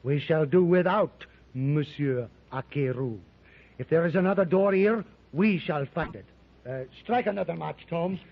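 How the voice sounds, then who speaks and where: An actor playing an excitable middle-aged French explorer in a 1930s radio serial, speaking with a French accent and peppering his talk with French words. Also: a little high, unhurried, creaky. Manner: exclamatory, peppers speech with French. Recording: dull old radio recording with faint hiss.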